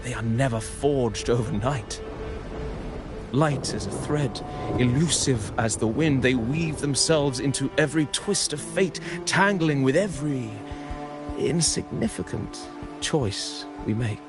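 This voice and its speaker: An actor playing a composed, eloquent poet-storyteller in a video game, narrating an epic in a measured, musing, theatrical way. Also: male voice, medium pitch, over music, natural voice. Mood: solemn, lyrical. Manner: measured, deliberate, philosophical and poetic delivery.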